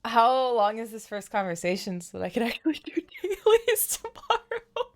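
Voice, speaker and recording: A woman game streamer chatting casually on a livestream in an animated, exclamatory way. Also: a little high, normal speed. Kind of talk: casual livestream chat, reading game dialogue aloud.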